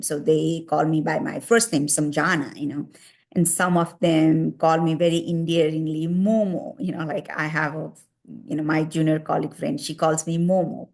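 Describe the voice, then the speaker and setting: Nepali-accented woman, filler-heavy advice talk. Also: low-pitched. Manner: heavy use of fillers "you know" and "like". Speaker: vlogger giving advice.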